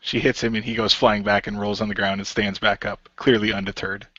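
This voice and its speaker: A man's voice: on the low side, level tone, a little fast, fairly bright tone, dry recording, lo-fi sound. A man improvising third-person narration as a player in a role-playing game. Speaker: tabletop role-play player.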